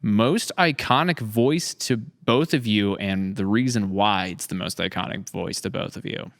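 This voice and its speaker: A man's voice: fairly low pitch, a little slow. A man hosting a casual podcast chat, talking in an informal, enthusiastic way. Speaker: podcast host.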